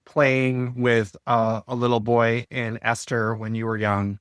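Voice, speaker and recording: Measured podcast host, even-voiced man. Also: mid-range voice, clear, resonant tone, no echo, clean studio sound. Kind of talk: scripted intro, then interview questions.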